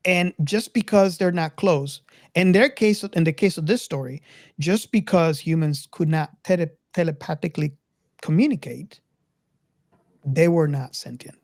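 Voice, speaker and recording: A man's voice: on the high side, normal speed, creaky, a little mellow, no room sound, studio quality. A man in a casual film discussion, earnest and insistent, repeating his phrases to make a point. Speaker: podcast co-host.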